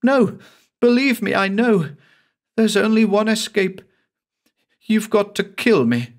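A man voicing a terrified young woman who pleads desperately in a high, frantic voice. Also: expressive, slow, full character voice. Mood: pleading desperately.

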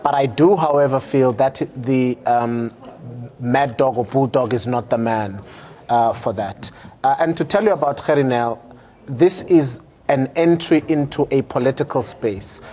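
A man's voice: mid-range voice, warm tone. A middle-aged man with a South African accent, giving political commentary in a studio, repeating words as he builds his point. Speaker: panel commentator.

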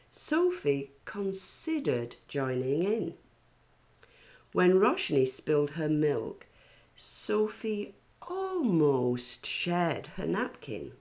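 A middle-aged woman reading a children's picture book aloud with expressive narration. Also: low-pitched. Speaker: children's story reader.

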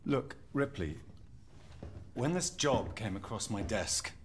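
An actor playing a polite, earnest company man in a sci-fi video game, speaking carefully and gently. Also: fairly low pitch, expressive, slow, slight background noise. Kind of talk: video game cutscene acting. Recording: dialogue under loud game soundtrack.

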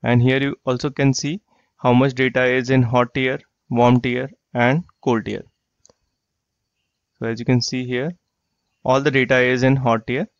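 A man walking through a software tool in a screen-share tutorial, explaining plainly and repeating himself. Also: mid-range voice, flat delivery, a little slow, pauses often, smooth, no room sound. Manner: plain explanatory, repeats phrases like "you get the idea". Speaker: software trainer. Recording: dry, slightly dull lo-fi voice track.

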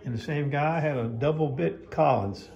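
A man with a drawl narrates his day into a handheld phone, offhand and rambling. His voice sits a little high for a man, and he talks in a plain, folksy way. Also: normal speed, vocal fry, a little mellow, highs cut off. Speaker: knife sharpener vlogger. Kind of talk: casual vlog narration. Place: outdoor farmers market parking lot. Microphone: phone camera held by speaker.

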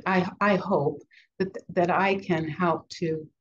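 A woman's voice: on the low side, fairly even intonation, slow. Older woman with a cold, hesitant. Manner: hesitant, many ums and restarts. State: has a cold, deeper voice. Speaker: playwright guest. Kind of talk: video call interview. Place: home study with bookshelves. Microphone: video call. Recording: dull lo-fi call audio, faint noise.

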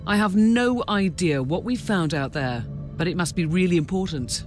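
High-pitched starship captain. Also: male voice, expressive, moderate pace, soft background music. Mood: earnest. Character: earnest, dutiful male starship captain. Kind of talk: video game voice acting.

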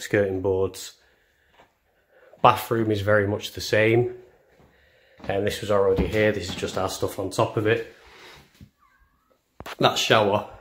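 A young man giving a casual house tour, chatty and self-deprecating, as he films on a handheld phone. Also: lowish voice. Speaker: vlogger. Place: indoors, walking through rooms of a house. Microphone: handheld phone camera.

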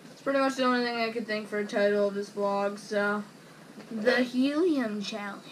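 A man's voice: high-pitched, normal speed, far from the mic. A teenage boy with an American accent chatting casually to viewers in a rambling, slangy way. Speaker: vlogger. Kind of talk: casual vlog chat to viewers. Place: small bedroom with bunk bed, indoors. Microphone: camera microphone a short distance away. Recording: noisy camera microphone in a room.

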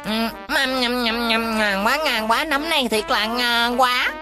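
A woman's voice: on the high side, measured pace, natural voice. A woman voicing an earnest, bossy and easily flustered little boy in a dubbed cartoon. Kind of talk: dubbed children's cartoon acting. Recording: faint music behind the voice.

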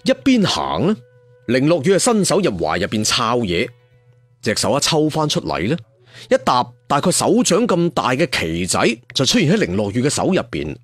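A man's voice: medium pitch, wildly swooping pitch, normal speed, dry recording, faint music behind. A man telling a story theatrically, like a traditional serial storyteller with asides to listeners. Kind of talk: dramatic Cantonese audiobook storytelling.

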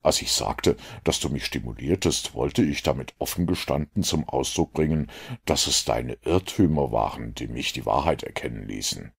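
A man voicing a calm, superior detective in an audiobook, measured and dryly condescending. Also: very deep voice, gravelly, breathy edge, pitched below the performer's own voice, full character voice. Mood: gently correcting.

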